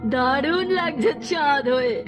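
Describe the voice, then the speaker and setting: Woman voicing a gloating cartoon demon, high-pitched over music. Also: a little slow. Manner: boastful, gloating. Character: menacing, gloating demon monster of a horror cartoon. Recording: music under the voice.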